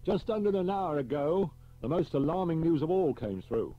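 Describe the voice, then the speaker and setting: Grave, blunt police chief, read by the narrator, pitched up and forceful. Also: male voice, expressive, lo-fi sound. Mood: grave, urgent. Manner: brisk and blunt. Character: blunt, grave senior police chief, middle-aged man.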